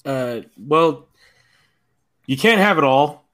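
A man's voice: on the high side, lively intonation, slow pace, a bit bright. A man on a podcast panel, giving his opinions in casual review chat.

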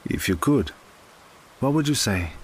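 A man acting a gentle, patient friend in an audio drama, consoling in a measured, coaxing way. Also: medium pitch, expressive. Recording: clear background hiss.

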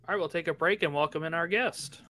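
A man co-hosting a soccer talk show, running through the week's scores one after another. His voice is bright and forceful, and it sits a little high for a man. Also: no echo. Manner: rattles through results quickly. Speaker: podcast co-host. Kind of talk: casual sports chat.

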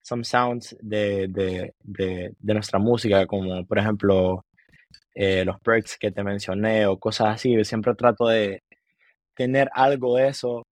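A man's voice: on the low side, fairly even intonation, no echo. A man in his twenties with a Honduran accent, a musician talking earnestly in a casual interview, with many restarts. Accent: Honduran Spanish. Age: 20s. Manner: earnest, halting, with many restarts and repeated words. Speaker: musician guest. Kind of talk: casual interview chat, mixing Spanish and English.

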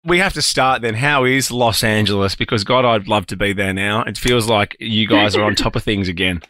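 A man's voice: lowish voice, moderate pace, sharp tone, dry recording, studio quality, soft background music. Chatty male podcast host, laughing easily. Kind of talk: casual interview. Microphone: video call.

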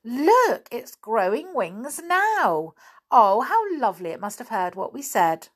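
A woman's voice: high-pitched, big pitch swings, slow, pitched above the performer's own voice, exaggerated voice. A woman voicing eager, sympathetic, plucky young children from a storybook, with keen exclamations. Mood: delighted, eager. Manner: bright-eyed, eager exclamations.

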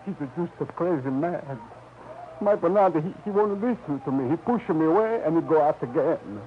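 A man's voice: fairly high pitch, expressive, moderate pace, a little hiss or hum, natural voice. A man playing a fretful old Italian dad. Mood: anxious. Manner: anxious, pleading, broken English. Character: worried old Italian immigrant father, amusement park owner. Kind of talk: 1940s radio acting. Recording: muffled old radio recording.

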